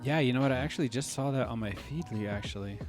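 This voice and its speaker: A man with an average-pitched voice chats casually on a podcast, hesitating often and laughing easily. Music is clearly audible behind his voice. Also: quick pace. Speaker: podcast co-host. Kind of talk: casual chat.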